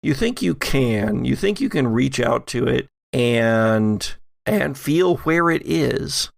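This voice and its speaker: A man running a tabletop horror game as game master, narrating in a deliberate way with pauses. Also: mid-range voice, animated, creaky, no room sound, clean studio sound. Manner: deliberate, pausing. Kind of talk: narrating a tabletop audio drama.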